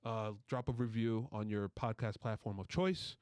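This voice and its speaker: A man's voice: fairly low pitch, steady tone, normal speed, darkish tone, smooth, no room sound, clean studio sound. A man hosting a casual sports podcast with friends, talking in a playful, bantering way. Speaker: podcast host.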